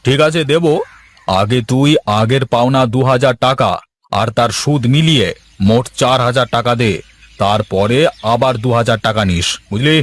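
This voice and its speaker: A man's voice: mid-range voice, normal speed, no echo, close to an everyday voice. A man voicing a mocking, threatening village moneylender's henchman in a cartoon fairy tale, sarcastic. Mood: menacing. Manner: sarcastic, bullying.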